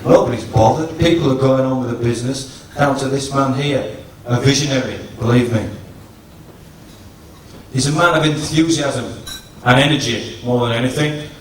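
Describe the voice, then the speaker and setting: A man giving a humorous after-dinner tribute speech to an audience, in a teasing, deliberate storytelling manner. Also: medium pitch. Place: large function room at a dinner, distant microphone. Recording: echoey noisy hall, from far off.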